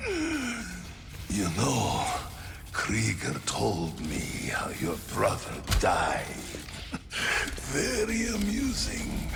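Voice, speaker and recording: A man playing a gloating, sadistic armored villain in a video game, taunting with a gravelly voice in drawn-out phrases. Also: mid-range voice, wildly swooping pitch, loud music behind the voice, heavily acted. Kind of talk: video game cutscene acting. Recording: loud noise behind the voice.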